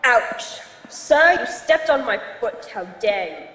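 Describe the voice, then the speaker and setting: High-pitched, haughty society lady. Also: expressive, slow pace, a bit bright, roomy sound, in a large hall, slight background noise. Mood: indignant. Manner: indignant, snippy. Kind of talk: radio drama acting.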